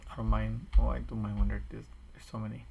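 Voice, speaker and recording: Raspy, tired-voiced man streaming his build. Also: on the low side, level tone, quick pace, muffled recording, background noise. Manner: rambling, thinks aloud with restarts. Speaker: streamer building a game map. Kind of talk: casual commentary while building. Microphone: desk microphone.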